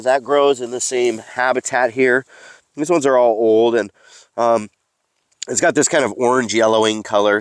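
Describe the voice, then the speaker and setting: A man with a somewhat low, slightly stuffy voice talks through an outdoor teaching vlog in a forest. He explains casually as he goes, pausing on ums and uhs. The sound is dry and close. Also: no echo. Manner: chatty, explaining as he goes, hesitant fillers. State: stuffy from allergies. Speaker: mycology educator and host.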